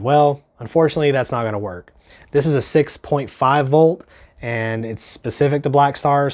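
A young man whose voice sounds stuffy and congested from a cold talks straight to the camera in a small home studio. His review is casual and earnest, sprinkled with ums and little restarts, pitched in an ordinary middle range for a man. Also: a little mellow. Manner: chatty, filler-heavy, earnest. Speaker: gear reviewer.

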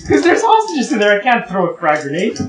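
A man co-hosting a let's play, in casual gaming banter, with jokey, rapid, repeated callouts to his partner. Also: sits high, wildly swooping pitch, faint music behind. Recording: roomy echo.

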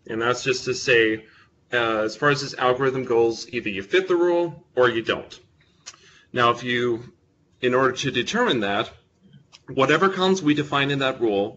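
A man's voice: medium pitch, bright, forceful tone, lo-fi sound, slight background noise. A young man presenting a technical talk to a live audience in a meeting room, speaking close to his microphone with a big voice and casual, self-deprecating humor. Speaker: presenter at a user group meeting.

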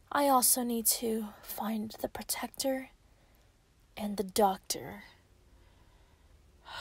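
A woman's voice: mid-range voice, gravelly, breathy edge, heavily acted. A woman voicing a menacing, taunting female werewolf in an ASMR roleplay audio drama, often dropping toward a whisper. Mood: vengeful, plotting. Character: gleeful, menacing female yandere werewolf villain.

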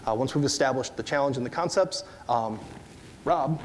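A man's voice: mid-range voice, moderate pace, a little hiss or hum. Chatty upper Midwest man presenting on stage. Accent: upper Midwest American. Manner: relaxed, chatty, many fillers, asks audience questions. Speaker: conference presenter. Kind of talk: technical conference talk. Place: large dark stage before an audience.

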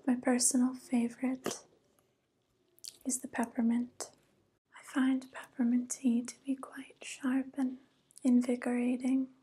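A young woman playing a softly coaxing evil witch in an ASMR roleplay, whispering slowly and gently, close to the microphone. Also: high-pitched, a little hiss or hum, rough recording. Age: young adult. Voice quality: breathy whisper. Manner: slow, gentle, flattering, falsely kind. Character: soft, coaxing evil witch luring a guest.

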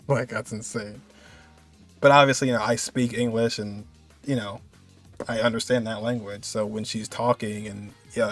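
Low-pitched young man, casual reactor. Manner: rambling, enthusiastic, repeats himself. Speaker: reaction video host.

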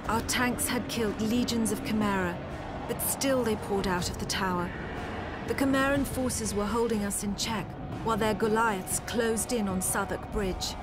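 An actress playing a grave female officer, narrating a war story in a sombre, measured way. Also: mid-range voice, natural voice. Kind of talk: scripted cutscene narration. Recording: music under the narration. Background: loud music.

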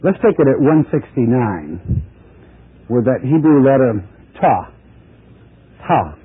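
A man teaching a Bible sermon as pastor, slow and deliberate, dropping low at the ends of phrases. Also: sing-song, breathy edge. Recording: tinny narrow-band broadcast audio.

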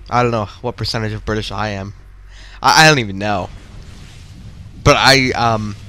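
A man giving casual, excitable, rambling commentary while playing through a video game. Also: medium pitch. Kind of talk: casual let's-play commentary.

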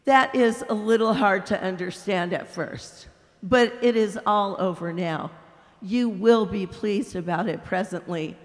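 A woman voicing a serene, gentle man's spirit who answers calmly and slowly. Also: mid-range voice, close to an everyday voice. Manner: calm, gentle, unhurried. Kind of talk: audiobook character voice. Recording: long hall reverb.

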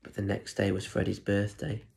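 Young british guy doing kids story time, gravelly edge to his voice, playful and chatty. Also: lowish voice, monotone, moderate pace, darkish tone, slight background noise. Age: 20s. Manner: playful, addresses young listeners with questions. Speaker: primary school story reader. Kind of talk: animated children's storybook reading with chatty asides. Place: plain room at home. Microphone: phone or tablet at arm's length.

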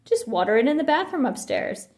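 Woman doing a brisk sly storybook mama bear, highish voice, echoey room. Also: big pitch swings, darkish tone. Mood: cheerful. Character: mother bear, busy, brisk, sly homemaker.

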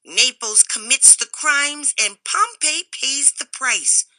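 A fretful man with a little squeak in his voice, high and loud with indignation, voiced by a woman reading aloud. He complains in a bright, pinched tone. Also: expressive, slow pace, no room sound, heavily acted. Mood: indignant. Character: fretful male cameo cutter with squeaky voice.

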